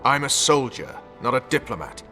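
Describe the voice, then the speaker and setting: A man's voice: mid-range voice, big pitch swings, moderate pace, pushed and bright, faint music behind. A male actor playing a hard, vengeful special forces commander in a video game, speaking in a stern, blunt, resolute manner. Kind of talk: dramatic video game acting.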